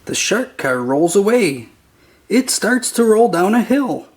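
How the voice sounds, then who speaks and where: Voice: mid-range voice, very animated, unhurried. A narrator reading a children's picture book aloud in short, simple storytelling phrases.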